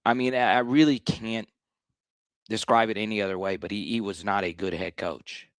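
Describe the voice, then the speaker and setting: Slightly hoarse man hosting a sports stream. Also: on the low side, normal speed, dry recording. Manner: blunt, animated rants with repeated restarts. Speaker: sports show host. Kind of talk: live-stream sports talk.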